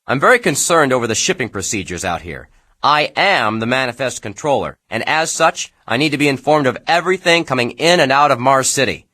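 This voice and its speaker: An actor playing a self-important, aggrieved shipping bureaucrat, dictating an officious, indignant memo for a video game. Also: male voice, mid-range voice, animated, fairly quick, bright, forceful tone.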